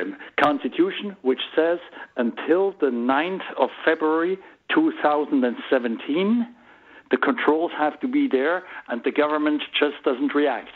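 A man from Switzerland with a Swiss accent, giving a radio interview as a political guest in careful, halting English. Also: a little high. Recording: thin, band-limited phone line.

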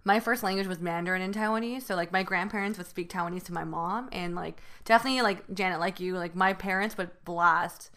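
A woman's voice: on the low side, fairly quick, hardly any pauses, a bit bright. A young woman co-hosting a podcast, sharing memories in an upbeat, casual way with frequent "like". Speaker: podcast co-host. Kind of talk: casual chat.

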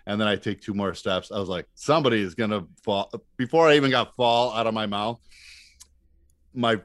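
A man's voice: lowish voice, brisk, fairly bright tone, no echo, studio quality. A middle-aged man telling stories as a podcast guest in a casual, easygoing, agreeable way. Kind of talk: casual anecdotal chat.

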